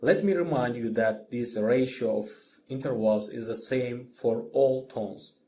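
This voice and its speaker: A man giving a scripted music lesson as a lecturer, in a measured, didactic manner. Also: medium pitch, mellow, slight background noise. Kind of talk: scripted instructional narration.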